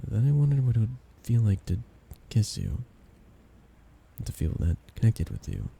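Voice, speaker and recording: A man's voice: lowish voice, sing-song, moderate pace, gravelly, warm tone, a little hiss or hum. A man playing a shy young guy confessing love to a best friend in an intimate ASMR romance roleplay, tender and hesitant. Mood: tender, earnest.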